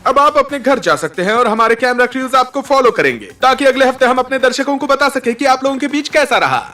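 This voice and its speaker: A man's voice: very high-pitched, quick pace, pushed and bright, soft background music. A man playing a slick, over-eager TV game show host with showy announcer patter, in a dubbed children's cartoon. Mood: confident, emphatic.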